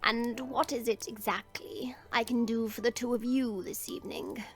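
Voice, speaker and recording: A woman playing a kindly, ailing old village witch in a fantasy audio drama, speaking gently and formally. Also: fairly high pitch, steady tone, moderate pace, soft background music, lightly acted. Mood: calm, welcoming. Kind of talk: fantasy audio roleplay acting.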